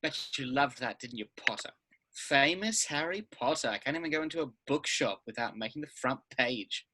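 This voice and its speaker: A woman playing a jealous schoolboy wizard bully with a raspy voice and a snide, taunting manner in a script table read. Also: extremely low pitch, animated, normal speed, bright, forceful tone, slight background noise, full character voice. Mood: sneering.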